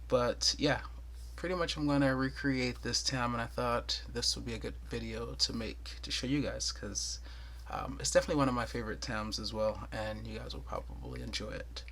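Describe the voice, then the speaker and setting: A man's voice: medium pitch, fairly bright tone. Soothing-voiced man teaching crochet. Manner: thorough, chatty, with light laughs and counting aloud. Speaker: crochet tutorial host. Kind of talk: casual step-by-step tutorial.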